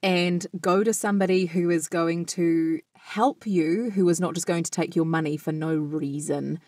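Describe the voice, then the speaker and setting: A woman co-hosting a podcast, summing things up in a measured way. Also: lowish voice, fairly even intonation, darkish tone, no room sound, studio quality. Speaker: podcast co-host. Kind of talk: casual chat.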